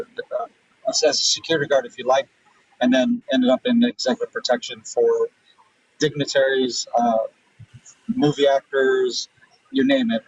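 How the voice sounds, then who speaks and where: A man from Israel answering interview questions thoughtfully, pausing often with fillers and restarts. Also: mid-range voice, slightly rough, no echo. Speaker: guest. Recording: distant, dull remote audio.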